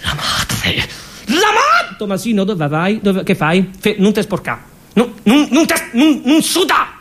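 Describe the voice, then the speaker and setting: A man's voice: high-pitched, wildly swooping pitch, unhurried, fairly bright tone, heavily acted. Man playing an exasperated, swooping Roman mother. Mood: frantic, nagging. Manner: stuttering, repetitive, bossy. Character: exasperated, nagging Roman mother scolding her small son.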